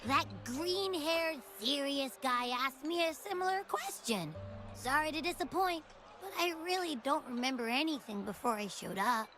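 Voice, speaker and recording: A woman's voice: high voice, expressive, moderate pace, a bit bright, faint music behind. A woman voicing a small, childlike, cheeky and dramatic hungry creature in a video game. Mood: matter-of-fact, cheeky. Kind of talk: video game character acting.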